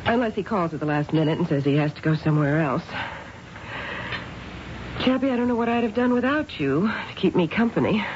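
An actress playing a neglected, quietly bitter wife in an old-time radio drama, coy and insinuating with trailing pauses. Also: female voice, lowish voice, animated, highs cut off, lightly acted. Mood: coy, inviting. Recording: faintly noisy old radio recording.

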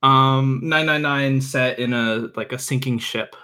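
A young man with a mid-pitched voice talks as a podcast guest. His delivery is informal and a little halting, with repeated words as he explains and recommends things. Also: fairly even intonation, sharp tone, smooth. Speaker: podcast guest, visual novel reviewer. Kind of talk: casual chat, explaining and recommending.

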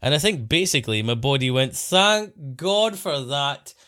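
English male co-host, animated, wry storyteller, medium pitch, dry close-miked recording. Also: unhurried, no echo. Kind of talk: casual podcast chat.

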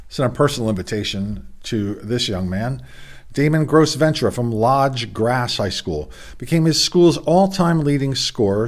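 A middle-aged man with a hoarse voice, hosting a casual sports roundup from a home studio, with rambling, self-deprecating asides. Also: mid-range voice. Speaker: sports podcast host.

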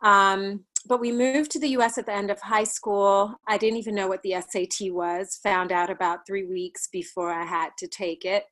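A thoughtful woman from Ghana in her forties, speaking as an interview guest. She recounts her path in a measured way, with frequent ums and small laughs between thoughts. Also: medium pitch, fairly even intonation, a bit bright. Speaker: plastic surgeon guest. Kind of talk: interview, life story.

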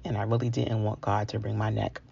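A woman's voice: very deep voice, level tone, brisk, creaky, warm tone, lo-fi sound, a little hiss or hum. A woman of around forty from New York, telling a personal story in a candid, conversational, confiding way. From New York, United States. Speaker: featured storyteller.

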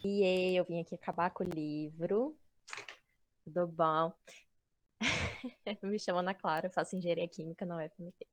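A woman's voice: on the low side, fairly even intonation, normal speed, pauses often, dry recording. A young woman with a Brazilian accent chatting casually and bluntly, with a playful edge, as a student club member. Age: young adult. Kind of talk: casual chat.

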